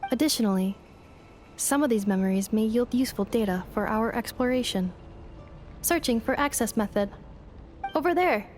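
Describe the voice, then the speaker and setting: A woman's voice: a little high, natural voice. A woman playing a composed, literal-minded female robot in a video game, speaking in precise, formal, clinical phrases.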